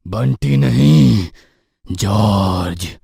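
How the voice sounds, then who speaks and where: A man voices a cold, vengeful ghost inside a possessed body in a horror audio story. The voice is dark and grave, dragging each word slowly and ominously, in a very dry recording. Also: fairly low pitch, expressive, creaky, breathy edge, no echo, exaggerated voice. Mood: menacing.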